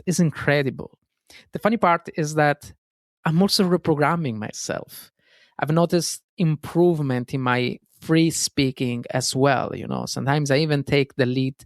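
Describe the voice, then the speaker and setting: Italian-accented male podcast host, gravelly, earnest and self-correcting, dry close sound. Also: on the high side, no echo. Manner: earnest, self-correcting, frequent fillers and "guys". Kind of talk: solo self-help podcast monologue.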